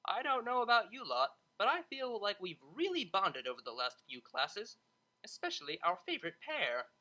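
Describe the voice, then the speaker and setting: A narrator voicing an excitable, theatrical male pony tutor in an audiobook, exclaiming and pushing for attention. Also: mid-range voice, moderate pace, voice pushed up, low-quality recording. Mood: excited. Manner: exclamatory, animated. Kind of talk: audiobook character voice.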